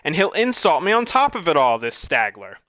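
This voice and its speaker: A man's voice: fairly high pitch, expressive, normal speed, pushed and bright, clear, resonant tone, no room sound. Man doing a blustering bear-headed monster. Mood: indignant. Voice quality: roaring, blustering.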